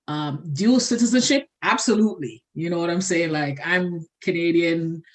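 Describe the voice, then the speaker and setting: A woman from Jamaica, speaking in a casual discussion, reflective and repeating her points. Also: sits low. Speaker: panel participant.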